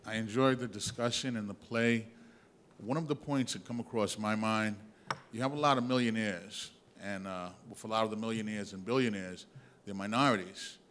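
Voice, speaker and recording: Low-voiced man speaking up, earnest. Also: normal speed, fairly bright tone, slight background noise. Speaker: audience member. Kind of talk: audience comment at a panel discussion. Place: large theatre hall.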